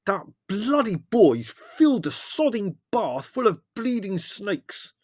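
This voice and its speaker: A man's voice: mid-range voice, a little fast, darkish tone, husky, no echo, clean studio sound, pitched above the performer's own voice, lightly acted. A man voicing a hot-tempered young man in a storybook, bellowing and snarling as he swears. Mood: furious, indignant.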